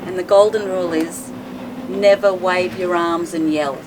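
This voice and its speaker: Low-pitched woman, chatty storyteller, faint background noise. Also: measured pace. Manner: chatty, laughs often, lively retelling. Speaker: guest boat owner. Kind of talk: casual storytelling chat.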